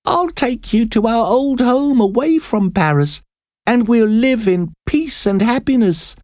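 A man voicing an older grieving mother, crying out in a very high, tearful voice. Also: huge pitch swings, slow pace, gravelly, a little mellow, no room sound, clean studio sound, full character voice. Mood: joyful weeping.

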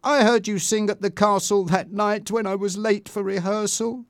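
Man voicing an excitable, high-pitched young woman singer. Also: moderate pace, no room sound, clean studio sound. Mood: shocked, upset.

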